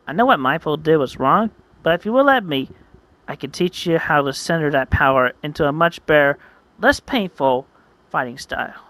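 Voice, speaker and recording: A woman voicing a male martial arts master, firm and encouraging, instructing deliberately in an anime-style story. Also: deep voice, expressive, a bit bright, no echo. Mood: measured.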